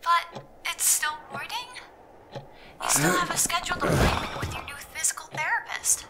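A woman voices an attentive AI medical assistant in an audio drama. She sounds bright and high, clinical and precise, over faint ambience. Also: lively intonation, moderate pace, slight background noise, exaggerated voice.

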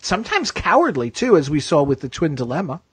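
A man's voice: a little high, sing-song, brisk, husky, no room sound. A man hosting a podcast, chatting casually as a fan in a talkative, emphatic way. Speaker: podcast host.

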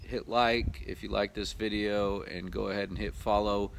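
A man's voice: on the low side, flat delivery, normal speed, creaky, a little hiss or hum. An American man in his thirties talking casually to viewers in a laid-back, plain-spoken way, like a DIY vlogger. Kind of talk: casual vlog narration to viewers.

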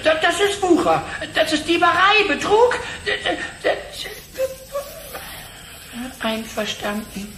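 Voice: very high-pitched, very animated, slow pace, pushed and bright, full character voice. An actor playing a grumpy, timid, easily outraged male character in a children's cartoon audio play, hesitant and fussy. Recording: roomy, noisy old cassette.

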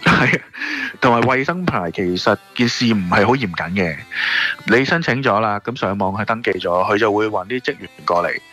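A man with a Hong Kong Cantonese accent explains things casually as an interview guest. His voice is fairly low yet bright and forward, with music faintly underneath. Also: animated, normal speed. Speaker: radio interview guest, shop owner. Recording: music playing behind the voice. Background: background music.

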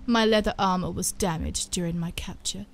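A woman voicing a tall, flirtatious night elf noblewoman in a slow, breathy, coy manner. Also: on the low side, a little hiss or hum, lightly acted. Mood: coy, grateful.

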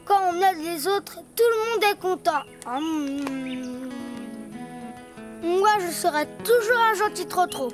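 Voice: extremely high pitch, lively intonation, slow pace, over music, full character voice. A performer voicing a cheerful, proud little boy donkey in a preschool cartoon, with a childish, earnest singsong manner. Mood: proud, content.

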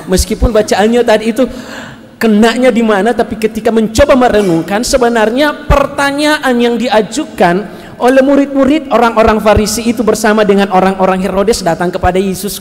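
A man in his thirties, a priest giving a lively homily at mass, animated and questioning the congregation, speaking into a headset mic. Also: high voice. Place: large church hall. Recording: long hall reverb.

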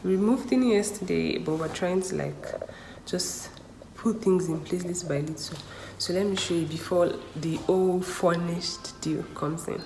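A young woman speaks straight to her viewers in a friendly, chatty way. Her voice is on the low side, with a faint hum of noise behind her. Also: darkish tone, rough recording. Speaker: vlogger. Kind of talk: casual vlog talk to camera.

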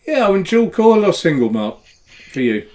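A man's voice: sits high, very animated, normal speed, darkish tone. An older man in his sixties with a Norwich accent chats casually over a webcam call. He talks hesitantly, often laughs at his own remarks, and reads out viewer comments. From Norfolk, England. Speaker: co-host of a vaping live show. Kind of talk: casual live-stream chat. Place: home room, indoors.

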